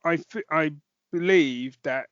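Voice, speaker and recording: Higher-voiced man in casual podcast chat, muffled audio. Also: slow, fairly bright tone, no room sound. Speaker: podcast co-host.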